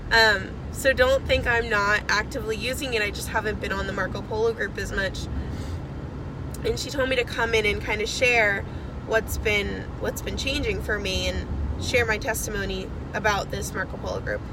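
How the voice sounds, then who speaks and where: Young woman, rambling casual phone message, getting over a cold, car air conditioning humming loudly behind. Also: medium pitch, fairly even intonation, moderate pace, background noise. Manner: chatty, rambling, lots of fillers. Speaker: network marketing seller.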